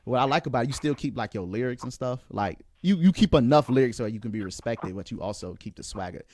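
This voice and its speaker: A man hosting a casual interview, feeling his way through questions in a halting, rambling way. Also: mid-range voice, animated, crowd noise. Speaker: interview host.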